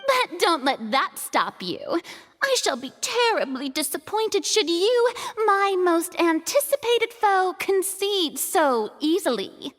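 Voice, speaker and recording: A woman's voice: very high voice, sing-song, slow pace, exaggerated voice. A woman voicing a haughty, grandiose young lady prosecutor in a video game, pompous and showy. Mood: mock disappointment.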